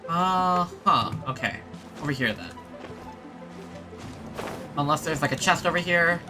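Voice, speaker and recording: A woman gaming streamer commenting casually as she plays, often talking to herself. Her delivery is slowish with big swings in pitch, and game music plays clearly behind her. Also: lively intonation. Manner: talks to self and to the game.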